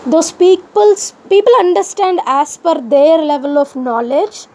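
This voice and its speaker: A woman's voice: high-pitched, a little slow, darkish tone. A young woman with an Indian English accent, whose first language is neither Hindi nor English, venting in a personal podcast monologue with impassioned rhetorical questions. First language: Indian language other than Hindi.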